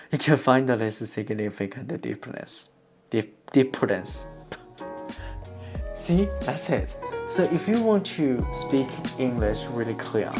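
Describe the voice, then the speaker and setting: A man whose first language is Korean, from near the China–North Korea border, giving earnest, plain English-learning tips to camera. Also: medium pitch. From China–North Korea border region. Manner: earnest, plain, non-native phrasing with restarts. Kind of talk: instructional talk to camera. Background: guitar music.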